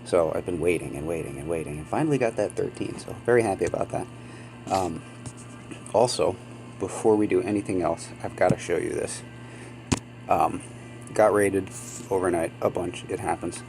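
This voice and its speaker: A man's voice: sits low, normal speed, gravelly, pauses often, music in the background, low-quality recording. A man hosting a gaming channel, sniffly from a cold, chatting casually and rambling with many ums and apologies. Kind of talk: casual gameplay commentary.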